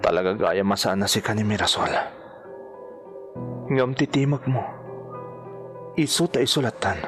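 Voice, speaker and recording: A man's voice: lowish voice, moderate pace, lightly acted. A man with an Ilocano accent acting in a radio drama as an earnest surgeon searching for his missing wife. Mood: earnest, intent. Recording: radio drama with music underneath.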